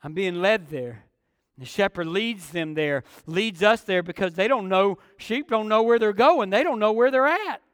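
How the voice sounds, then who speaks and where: A man's voice: high voice, lively intonation, moderate pace, clean tone, dry recording, clean studio sound, big-room sound. A man preaching an expository sermon, with emphatic phrasing and repetition for effect.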